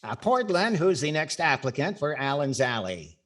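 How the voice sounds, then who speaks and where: A man's voice: fairly high pitch, sing-song, moderate pace, fairly bright tone, a little hiss or hum. A man playing a wry, skeptical radio comedy star in 1940s style, with theatrical timing. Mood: businesslike.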